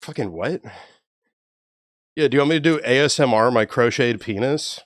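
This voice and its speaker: A young man with a low, even voice, chatting casually and swearing freely with his live stream chat. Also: moderate pace, no echo. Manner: laid-back, profane, joking with chat.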